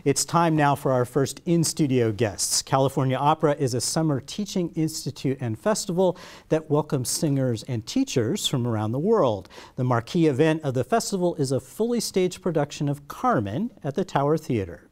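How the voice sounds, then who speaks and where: A man's voice: on the high side, lively intonation, moderate pace, darkish tone. A man hosting a local arts interview show, introducing guests in a friendly, conversational way. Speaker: host.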